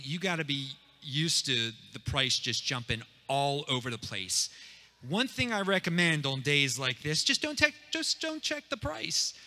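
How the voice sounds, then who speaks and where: Man, energetic loud speaker, bright forceful tone, long room reverb. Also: fairly high pitch. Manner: hyped, pumped-up delivery. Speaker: speaker at a meetup, channel host. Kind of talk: live talk to a crowd. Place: meetup room.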